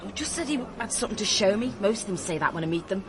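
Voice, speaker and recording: An English actress playing a cheeky, grumbling, streetwise escort in a radio drama, sardonic and colloquial. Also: female voice, medium pitch, expressive, very fast, a crowd in the background, lightly acted. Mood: sullen. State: coughing, chesty after a soaking. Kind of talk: BBC radio drama acting.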